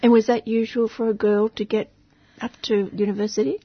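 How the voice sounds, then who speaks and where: A woman's voice: a little high, level tone, brisk, slightly rough, dry recording, muffled recording. A woman presenting a community radio interview, asking short prompting questions.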